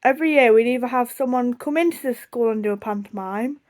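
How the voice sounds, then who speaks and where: A woman's voice: on the high side, fast talker, darkish tone. A young woman with a British accent rambling casually through a storytime vlog, chatty, self-deprecating and easily sidetracked. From United Kingdom. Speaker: video blogger.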